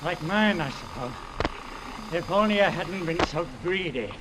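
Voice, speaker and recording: Slow, wounded, bitter old man. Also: a little high, expressive. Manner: halting, labored, bitter. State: weak and in pain. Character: wounded, embittered rich old man, grimly relentless. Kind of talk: vintage radio drama acting. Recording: very noisy old radio recording.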